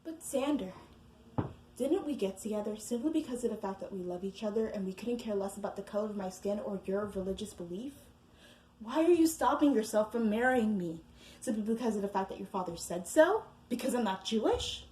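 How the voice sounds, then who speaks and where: A woman's voice: medium pitch, expressive, a little fast, a little mellow, roomy sound, slight background noise, lightly acted, low-quality recording. A woman acting a heartbroken young woman in a stage monologue, earnestly pleading with her fiancé. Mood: hurt, pleading.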